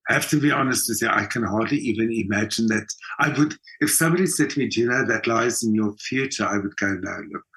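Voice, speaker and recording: An older man with a South African accent, telling anecdotes reflectively as an interview guest and often voicing imagined dialogue in an expressive way. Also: on the low side, fast talker, few pauses, slightly rough, poor audio. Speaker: interview guest, actor and singer.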